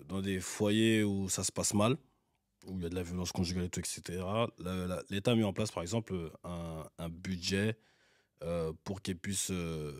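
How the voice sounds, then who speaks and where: A man in a casual panel discussion, speaking haltingly as he searches for his words. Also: low voice, no room sound, studio quality.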